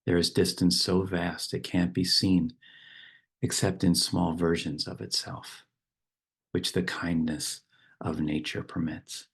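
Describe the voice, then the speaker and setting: A man leading a guided meditation and reading verse, speaking slowly and softly with long pauses. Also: sits low, little pitch movement, gravelly, husky. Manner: slow, unhurried, soft instruction with long pauses. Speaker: meditation teacher.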